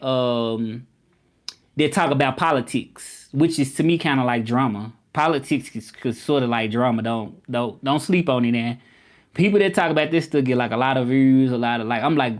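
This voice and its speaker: A man hosting a casual live stream, chatting loosely with viewers and often repeating himself. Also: on the high side. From Memphis, United States. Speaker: livestream host.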